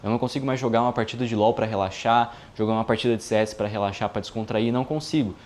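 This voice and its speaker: A man in his early twenties, a video editor, talking casually to his viewers in a relaxed, chatty way with frequent filler tags. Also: on the low side, rapid-fire. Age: 20s. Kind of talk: casual vlog monologue.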